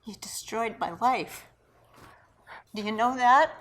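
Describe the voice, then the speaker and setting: A woman's voice: a little high, slow, fairly bright tone, a little hiss or hum. A woman playing a bitter, wounded character who accuses an old friend, in a dramatic script read. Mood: hushed menace. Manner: accusing, sarcastic. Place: indoor studio. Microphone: lavalier.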